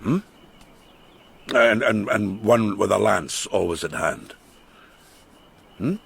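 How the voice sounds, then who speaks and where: An actor in a radio drama playing a boisterous, teasing farmer who is drunk, slurring and halting as he repeats himself. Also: on the low side, gravelly, a bit bright, husky, heavily acted. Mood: brooding, menacing.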